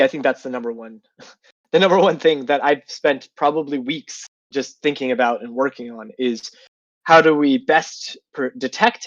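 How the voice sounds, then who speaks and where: A man's voice: medium pitch, no echo, dull audio. A man with a sinus infection, sounding nasal and congested, answering questions in a casual online interview and thinking aloud with many fillers. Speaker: guest developer.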